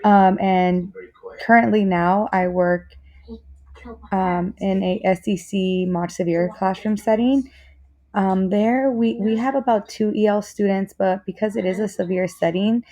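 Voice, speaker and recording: A young woman whose first language is Spanish, giving an earnest, casual self-introduction for a course as a student teacher, with frequent pauses and restarts. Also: medium pitch, steady tone, warm tone, no room sound. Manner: earnest, many fillers and restarts.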